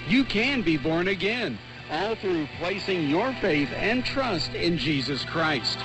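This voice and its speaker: A man reading scripted announcements for a religious radio station in a formal, measured way. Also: high-pitched, lively intonation, rough recording. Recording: shortwave radio with music behind. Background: music bed.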